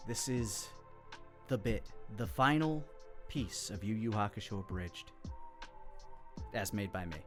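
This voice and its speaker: A man's voice: lowish voice, expressive, unhurried, long pauses, faint music behind. A man giving casual, enthusiastic creator commentary on his own parody series, swearing casually.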